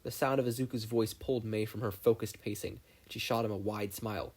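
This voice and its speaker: A podfic narrator reading scripted fiction aloud in an expressive storytelling style. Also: mid-range voice, fairly quick, breathy edge.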